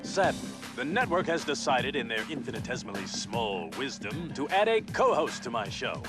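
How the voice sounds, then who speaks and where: A man's voice: medium pitch, very animated, normal speed, close to an everyday voice. Man acting a pompous, wildly swooping game-show-style host. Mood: grudging, sardonic. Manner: theatrical, pompous, sneering. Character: flamboyant, smug, sardonic male TV racing show host. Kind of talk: showy video game cutscene acting. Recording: music behind the voice.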